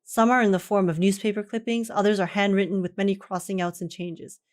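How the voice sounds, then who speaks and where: A woman's voice: mid-range voice, steady tone, a little fast. A woman livestreaming a game in an informal, giggly manner, chatting casually and reading text aloud. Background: game music.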